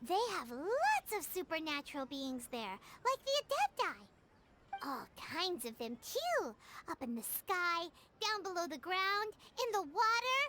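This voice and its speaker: A tiny, childlike fairy sidekick from an anime game, bubbly, loyal and full of exclamations. The voice is extremely high and swoops all over. It sits in a very noisy stream capture. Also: female voice, big pitch swings, a little slow, heavily acted. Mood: curious, chatty. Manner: exclamatory, talks of self in third person.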